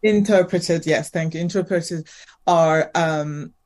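A woman's voice: deep voice, unhurried, darkish tone. Hesitant woman from Turkey, fillers. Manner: hesitant, many fillers and restarts. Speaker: guest. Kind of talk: casual podcast chat.

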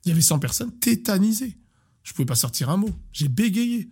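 Animated man coach, slightly high voice. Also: brisk, slightly rough. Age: 30s. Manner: animated, repeats words, restarts often. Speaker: sports coach. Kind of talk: casual interview talk.

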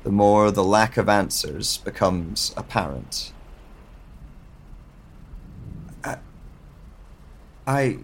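A man plays a lost amnesiac narrating his own audio drama. His voice sits low and moves very slowly, pensive and halting as he searches for answers. Clear background ambience surrounds him. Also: a little hiss or hum, heavily acted. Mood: distressed, confused.

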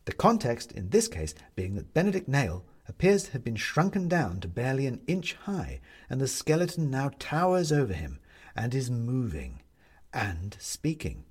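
A man narrating a storybook chapter in a wry, expressive reading style. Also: mid-range voice, normal speed, slightly rough. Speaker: audiobook narrator.

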